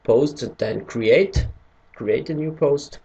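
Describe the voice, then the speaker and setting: Slow, halting Austrian man. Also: medium pitch, gravelly, mellow, highs cut off. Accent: Austrian German. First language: German. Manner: hesitant, searching for English words. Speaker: tutorial maker. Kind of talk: screen-recorded tutorial.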